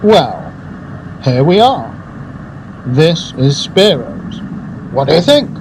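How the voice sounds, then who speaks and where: A man's voice: on the high side, sing-song, drawn-out words, a little hiss or hum, heavily acted, rough recording. An actor playing an earnest, effusive advertising man and gourmet in a radio drama, speaking in a grandiose, theatrical way. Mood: grandiose, devoted.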